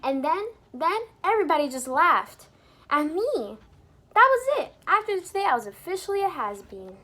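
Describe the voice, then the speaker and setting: A woman reading a picture book aloud to young children in an expressive, teacherly way, pausing to explain things and put questions to the listeners. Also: high voice. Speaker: children's storybook reader and teacher. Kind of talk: animated read-aloud for young children with asides explaining words.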